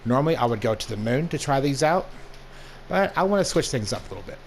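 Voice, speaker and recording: A young man with a hoarse voice from allergies, casually talking through a game review as the channel's host. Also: mid-range voice, lively intonation, fast, a little hiss or hum. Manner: laid-back, plain-spoken, rambling asides. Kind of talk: casual gameplay commentary.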